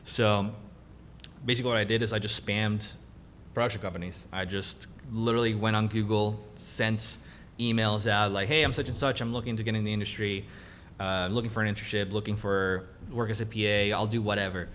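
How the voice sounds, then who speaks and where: A young man, raised in Mexico with Spanish as his first language, talks in a low voice. He tells his story with animated energy and often quotes himself. A faint rumble hums behind him. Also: fairly quick. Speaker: guest cinematographer. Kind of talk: casual interview chat.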